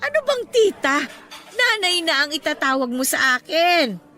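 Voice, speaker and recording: An older mother in a radio drama, doting and full of teasing. The voice is high and bright, swinging expressively through exclamations. Soft music sits underneath. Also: very animated, measured pace, heavily acted. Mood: grateful, warm.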